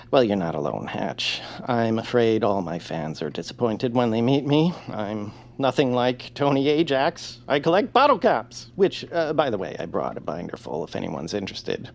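An actor playing a timid, fussy, self-deprecating radio hero in a comedy audio drama, halting and apologetic. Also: male voice, medium pitch, sing-song, creaky. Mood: sheepish, apologetic. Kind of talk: audio fiction comedy acting.

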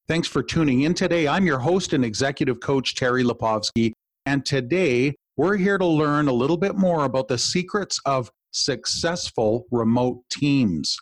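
A man's voice: mid-range voice, expressive, normal speed, no echo. A male podcast host and executive coach, enthusiastic, asking long, wind-up questions of his guest. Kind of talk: podcast interview.

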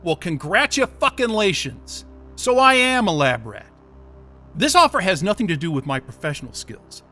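Trapped software developer, audio drama, high tense voice, sarcastic, angry, defiant, agitated, faint music underneath. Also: male voice, sing-song, moderate pace, heavily acted. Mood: bitter sarcasm. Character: angry, sarcastic, panicked male software developer held captive. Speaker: captive protagonist in an audio drama.

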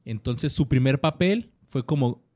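A man's voice: mid-range voice, animated, a little slow, darkish tone, no room sound. A man co-hosting a podcast, reading out actor bios with lots of slang and jokes. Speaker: podcast co-host. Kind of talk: casual podcast chat.

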